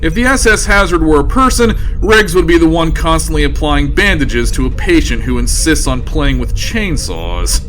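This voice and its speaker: A man's voice: on the high side, very animated, normal speed, few pauses, fairly bright tone, a little hiss or hum. A man playing the wry storyteller of a sci-fi audio comedy, droll and theatrical. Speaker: narrator. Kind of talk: wry scripted comedy narration.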